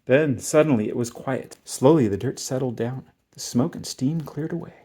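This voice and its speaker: A man reading a children's story aloud in an expressive, dramatic and emphatic way. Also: medium pitch, moderate pace, husky. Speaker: storybook reader.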